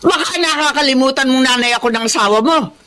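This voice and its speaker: High, sharp, demanding older Filipina mother-in-law. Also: fast talker. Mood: indignant. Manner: scolding, entitled. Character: demanding, indignant older mother-in-law, quick to take offence. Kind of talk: Filipino radio drama acting.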